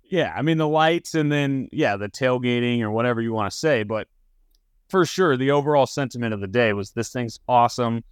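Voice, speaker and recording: Clear-voiced man talking sports, very dry sound. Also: mid-range voice, fairly quick, dry recording. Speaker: sports podcast host. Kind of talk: casual sports talk.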